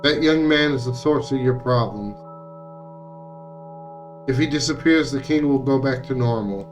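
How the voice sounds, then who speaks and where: A man's voice: mid-range voice, moderate pace, faint music behind, lightly acted. A man voicing menacing hive-minded puppets in a visual novel, insistent and accusing, with chant-like repetition. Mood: cold, resolute.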